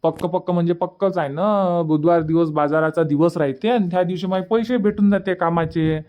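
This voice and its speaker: A man's voice: a little high, steady tone, fairly quick, highs cut off, natural voice. A man voicing an easygoing young village son in a cartoon comedy, chatty and colloquial, with a rural Vidarbha Marathi accent. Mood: reassuring, cheerful.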